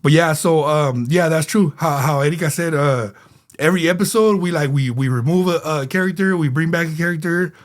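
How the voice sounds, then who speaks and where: A man co-hosting a casual podcast, relaxed and rambling, with lots of fillers and repeated phrases, a bit under the weather. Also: fairly high pitch, brisk. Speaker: podcast co-host.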